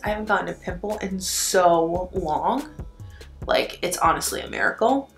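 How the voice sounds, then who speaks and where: A young woman chats away on camera as she does her makeup, her voice slightly low for a woman and full of "like" and easy profanity. The room around her is echoey, with faint music playing underneath. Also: fairly bright tone. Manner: chatty, profane, says "like" constantly. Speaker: beauty vlogger. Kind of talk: casual chat while doing makeup. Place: at home indoors. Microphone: camera mic.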